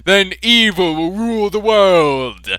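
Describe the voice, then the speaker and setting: A man putting on gloating, villainous enemy fighter jets in an amateur fan fiction reading, with loud, raised taunts. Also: high-pitched, lively intonation, slow, clear, resonant tone, no room sound, pitched above the performer's own voice, full character voice.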